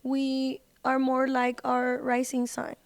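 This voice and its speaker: High, smooth-voiced woman chatting casually. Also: completely flat delivery, slow. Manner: informal, heavy use of "like" and "you know". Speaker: podcast guest, singer and social media personality. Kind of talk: casual chat.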